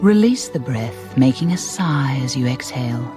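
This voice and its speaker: A woman narrating a guided meditation in a soft, breathy voice, soothing and deliberate, with gentle phrasing and pauses. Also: rumbling low, animated. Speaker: relaxation guide. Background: music.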